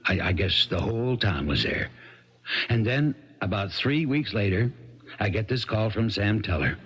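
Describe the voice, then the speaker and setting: An actor playing an earnest, folksy small-town sheriff with halting, stammering speech in a 1970s radio drama. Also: male voice, lowish voice, expressive, a bit bright, breathy edge. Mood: troubled, earnest. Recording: dull lo-fi 1970s radio recording.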